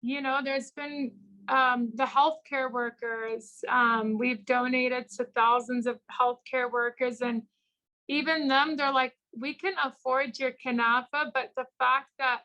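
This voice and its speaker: A woman's voice: sits high, a little slow, lo-fi sound. A woman and small business founder speaking as an interview guest in a casual, chatty, rambling way, full of fillers, and acting out the people she quotes as she tells stories. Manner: animated storyteller who acts out quoted people.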